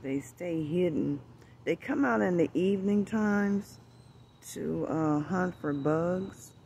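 A man filming in a backyard, talking slowly and gently, often dropping to a hushed voice. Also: high voice, vocal fry, a little hiss or hum. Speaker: birdwatching vlogger. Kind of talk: casual outdoor narration while filming. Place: outdoors in a backyard among bushes. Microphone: handheld phone camera.

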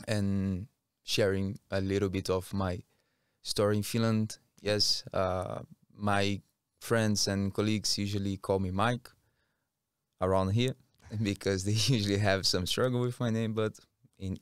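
Brazilian guy on a podcast, low clear voice, chatting casually into a close mic. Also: steady tone, measured pace, dry recording. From Rio, Brazil. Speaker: podcast guest. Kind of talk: casual interview talk. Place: small curtained podcast studio. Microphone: close studio mic on boom arm.

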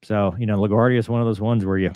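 A man's voice: on the low side, fairly even intonation, rapid-fire, dull audio. A man in his forties giving casual, conversational explanatory commentary as a host, using pilot jargon. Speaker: host, former fighter and airline pilot commentator. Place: small home room, on a video call.

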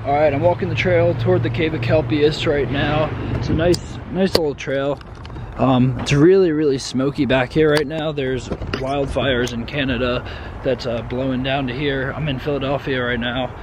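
A young man in his twenties talking casually to the camera as a vlogger, relaxed and unsure, with lots of fillers, recorded on a handheld phone. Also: medium pitch, moderate pace, noisy background. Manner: relaxed, uncertain, many fillers. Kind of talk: casual vlog walkthrough. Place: wooded trail outdoors, then apartment living room.

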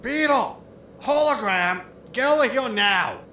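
A man's voice: extremely high pitch, lively intonation, a little slow, sharp tone, full character voice. Man acting a sarcastic spaceship crewman, echoey. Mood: alarmed. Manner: sarcastic, exasperated. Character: grumbling, money-minded, sarcastic spaceship crewman. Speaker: actor in an audio drama. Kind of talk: sitcom audio drama acting. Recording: roomy echo with noisy ambience.

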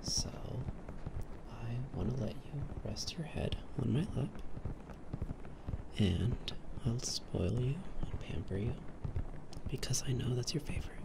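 Doting ASMR boyfriend, acted by a man, breathy and intimate, with a creaky edge, unhurried. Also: medium pitch, expressive, background noise. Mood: tender whisper. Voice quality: breathy, whispered and soft-spoken. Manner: tender, soothing, intimate. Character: doting, affectionate young boyfriend pampering his partner. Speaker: ASMR role-play performer. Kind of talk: ASMR personal-attention role play. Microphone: close binaural microphone.

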